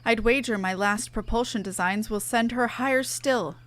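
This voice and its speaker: A woman's voice: a little high, moderate pace, fairly bright tone, lightly acted. A woman voicing an earnest, chatty engineer from a fantasy game, reading the dialogue aloud in formal, old-fashioned phrasing. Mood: cheerful.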